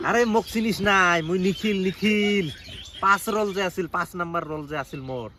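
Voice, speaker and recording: A man's voice: sits high, pushed and bright. A man acting a shameless, pushy moocher friend in a comedy sketch, chummy and insistent, with a northern Bengali regional dialect. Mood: excited, insistent. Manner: insistent, chummy, fast-talking. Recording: faint outdoor background noise with birdsong.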